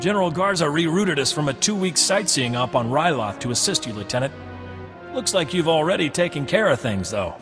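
A man playing an energetic, respectful young recon lieutenant in a video game, brisk and commanding. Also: a little high. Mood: respectful report. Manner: brisk, commanding, deferential to superior. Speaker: military lieutenant. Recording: long reverb, music behind.

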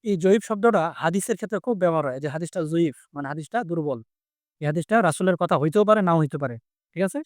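Male Bangladeshi Bengali teacher, slightly high voice, dry close sound, explains conversationally and quizzes with repeated questions. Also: animated, fast, no echo. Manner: conversational, repeats phrases and asks check questions. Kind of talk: interactive vocabulary lesson, explaining and quizzing.

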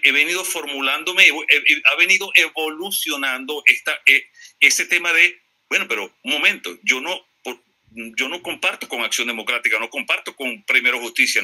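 A man's voice: a little high, bright, forceful tone. A Venezuelan man in a political discussion, speaking hesitantly and apologetically, often repeating words as he corrects himself. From Maracaibo, Venezuela. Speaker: participant in a live audio discussion.

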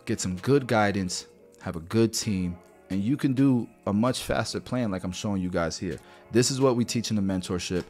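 A man in his thirties with a lowish voice talks straight to camera through a close podcast mic. He explains money matters bluntly and keeps calling his viewers "guys". Soft music plays faintly underneath. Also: normal speed. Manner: direct, blunt, repeats "guys" and "right". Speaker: barber business mentor. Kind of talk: whiteboard finance lesson to camera. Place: small home studio indoors. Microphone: podcast mic near mouth.

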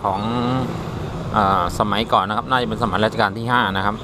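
Male travel host, thirties, fairly deep voice, halting and casual tour commentary, noisy outdoor location sound. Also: steady tone. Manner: halting, searching for words. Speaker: travel vlogger. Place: outdoors at a railway station. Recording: noisy outdoor ambience with traffic.

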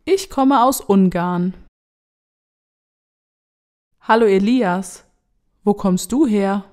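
A woman reading short practice dialogues for a language lesson, enunciating carefully and deliberately. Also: medium pitch, a little mellow. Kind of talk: slow scripted dialogue reading.

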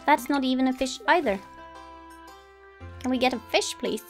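High-voiced woman narrating her gameplay. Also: normal speed. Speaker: let's play host. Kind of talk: casual gameplay commentary, reading game dialogue aloud. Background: game music.

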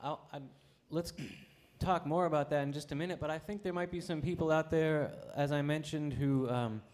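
Man, interviewer, music professor, friendly, halting, stage microphone. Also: fairly high pitch, steady tone, darkish tone. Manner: friendly, halting with many fillers. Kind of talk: onstage interview.

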